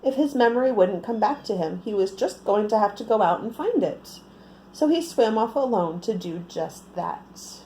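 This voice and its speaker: Casting: female children's storyteller, picture-book read-aloud, highly melodic delivery, mellow tone, faint noise behind. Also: moderate pace, low-quality recording. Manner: expressive, asks the listeners questions.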